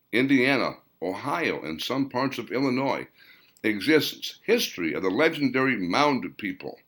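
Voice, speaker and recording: A man's voice: mid-range voice, a bit bright. A man voicing a scholarly man who earnestly lectures on ancient languages and lost places. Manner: earnest, explanatory, measured.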